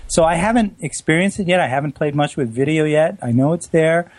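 Husky-voiced Canadian man. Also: on the high side, a little fast, dry recording. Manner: earnest, hesitant with restarts. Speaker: photographer, co-host. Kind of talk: casual chat.

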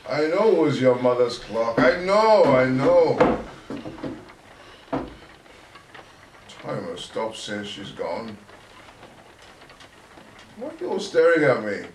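Audio play: washed-up middle-aged doctor, drunk, self-pitying, rambling slowly, recorded off-mic with noise. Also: male voice, medium pitch, sing-song. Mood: maudlin, then taunting, drunk. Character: bitter, drunken former doctor wracked with guilt. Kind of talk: audio drama acting. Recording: roomy, distant, noisy audio drama recording.

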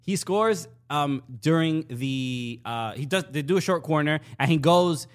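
A man co-hosting a casual soccer podcast, talking in a halting way with many fillers and restarts. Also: on the high side, expressive. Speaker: podcast co-host.

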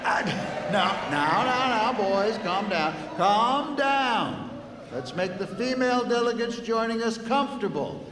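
An actor playing a short, goofy, reluctant Vice President in a comic audio drama, rambling and pleading. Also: male voice, sits high, animated, slow pace, a crowd in the background. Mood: jovial, cocky. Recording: echoey hall with music behind.

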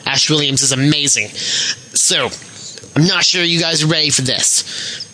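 A man's voice: a little high, a little slow, bright, forceful tone. A man leaving a recorded call-in message for a podcast in a mock-pompous, self-promoting manner. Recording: slightly noisy amateur voice recording.

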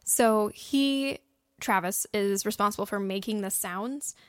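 A woman's voice: mid-range voice, measured pace, dry recording, studio quality. A woman co-hosting a podcast, explaining things casually and chattily with plenty of examples. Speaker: podcast host and audio drama writer. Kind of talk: casual explanatory talk with co-host.

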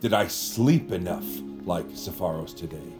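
A man playing a pompous method actor with villainous ambitions in an audio drama, speaking in a grandiose, formal, deliberate way. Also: low voice, expressive, over music. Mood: self-important. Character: pompous theatrical method actor, secretly a villainous vampire familiar.

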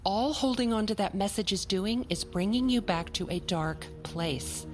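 An actress playing a primly positive, insistent therapist in an audio drama, measured and clinical as she coaxes. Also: medium pitch, over music, lightly acted. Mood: reassuring. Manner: clinical, coaxing, deliberate. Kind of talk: naturalistic audio drama acting.

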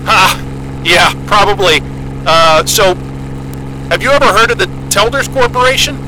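A man's voice: sits high, expressive, normal speed. A man acting as an earnest, bookish young astronomer in an audio drama, with a hesitant, polite, wry way of talking. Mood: cagey, hesitant. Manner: hesitant, polite, wry, stammering over words. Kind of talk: naturalistic audio drama dialogue. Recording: loud engine hum and vehicle noise.

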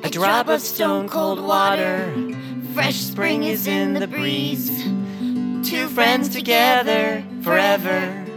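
A woman performing as a tender, wistful singer in a gentle sentimental song. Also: a little high, animated, slow. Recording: guitar music behind the voice.

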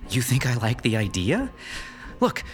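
An actor playing a crude, persuasive lover plotting murder in an audio horror drama. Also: male voice, medium pitch, huge pitch swings, measured pace. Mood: urgent, persuasive.

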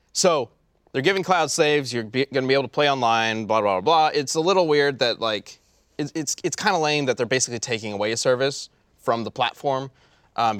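Male podcast host, medium pitch, informal talk, very dry room sound. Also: a bit bright, dry recording. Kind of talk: casual podcast chat.

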